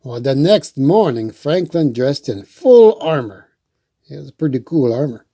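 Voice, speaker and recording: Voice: mid-range voice, very animated, a little slow, warm tone. A storytime host reading a picture book aloud and adding playful, rambling, chatty asides.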